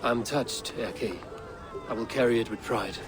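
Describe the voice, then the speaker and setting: An actor playing a stern, resolute Viking clan leader in a dramatic video game cutscene. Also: male voice, mid-range voice, measured pace, lightly acted. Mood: solemn. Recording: clear background noise.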